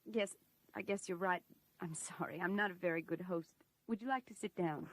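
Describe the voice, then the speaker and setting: A woman's voice: lowish voice, normal speed, no echo, lightly acted. A woman playing an earnest, polite secretary to an Emperor in a science fiction audio drama, speaking confidingly. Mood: hesitant, apologetic.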